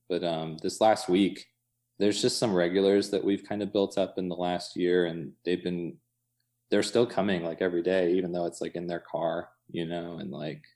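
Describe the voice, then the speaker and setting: American guy with a pretty deep voice, chatting casually in an interview, loose wandering sentences, says like a lot. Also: flat delivery, moderate pace, darkish tone. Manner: informal, thinking aloud in loose fragments, frequent "like" and "you know". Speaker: coffee shop owner being interviewed.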